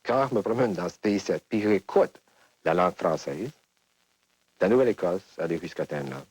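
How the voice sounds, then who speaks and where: A man's voice: low voice, lively intonation, fairly quick, darkish tone, husky, no room sound. A man, a musician, talking reflectively in an interview clip, rambling a bit as he searches for words.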